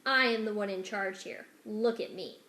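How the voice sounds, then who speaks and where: A villainous male rat from a children's storybook, played by a woman, speaks with deliberate, formal courtesy that barely covers menace. He commands and condescends. The room around the voice rings with echo. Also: medium pitch, sharp tone, lightly acted. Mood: smug menace. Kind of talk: dramatic children's storybook reading. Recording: echoey room.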